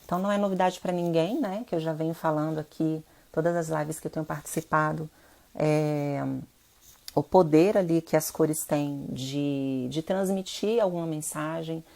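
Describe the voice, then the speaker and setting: Low-voiced, stuffy-nosed Brazilian woman. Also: steady tone, moderate pace, creaky, mellow. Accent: Brazilian Portuguese. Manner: chatty, addresses viewers directly. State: nasal from rhinitis. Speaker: interior designer hosting a live stream. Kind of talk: casual live-stream talk.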